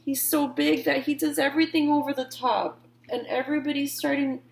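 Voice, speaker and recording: A woman's voice: sits high, level tone, a little fast, creaky, echoey room, poor audio. A woman reading a picture book aloud to children, giving key words dramatic emphasis and adding informal asides. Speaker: read-aloud storyteller.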